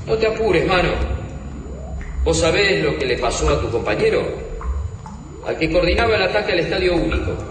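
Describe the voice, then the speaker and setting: An actor with a Rioplatense Argentine accent playing a defiant, cunning resistance fighter in a radio drama, insistent and challenging. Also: male voice, on the high side, very animated, fairly quick, long pauses, noisy background. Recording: echoey reverb, music behind.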